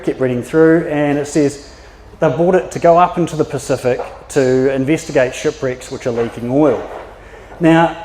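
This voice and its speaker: A man's voice: medium pitch, a little mellow. A man in his thirties with a New Zealand accent, giving a chatty, anecdotal public talk full of fillers and restarts. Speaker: maritime archaeologist giving a talk. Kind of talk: public presentation. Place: yacht club function room. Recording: echoey hall with long reverb.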